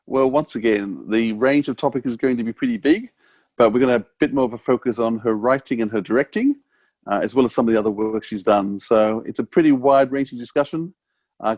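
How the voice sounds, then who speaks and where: A man's voice: mid-range voice, a little mellow, dry recording. A man with an Australian accent co-hosting a podcast in a casual, conversational interviewing style.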